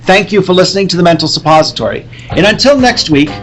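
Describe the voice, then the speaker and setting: A man hosting a live podcast with casual, rambling, halting banter. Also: sits high, expressive, a bit bright. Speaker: podcast host. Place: comic convention, live event. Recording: noisy live convention hall.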